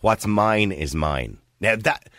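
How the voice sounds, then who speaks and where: A man's voice: fairly low pitch, takes it slowly, no room sound. A man hosting a radio phone-in, arguing his points and cutting in on callers. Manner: animated, interrupts, argues his point. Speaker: radio show host.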